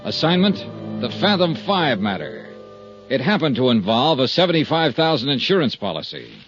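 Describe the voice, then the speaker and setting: A man plays a brash insurance investigator from fifties radio detective drama. His lively voice swings up and down as he delivers wry slang with punch. It sounds like a dull old broadcast recording with a little music under it. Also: mid-range voice, moderate pace, close to an everyday voice. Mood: brisk narrating. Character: brash wisecracking male freelance insurance investigator.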